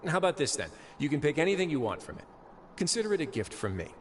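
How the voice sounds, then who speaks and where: An actor playing a composed, wry duke in an anime video game, speaking in a measured, courteous and sly way. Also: male voice, on the high side, big pitch swings, slight background noise. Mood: amused. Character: composed, wry male duke running an underworld fortress. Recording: game audio with clear music behind.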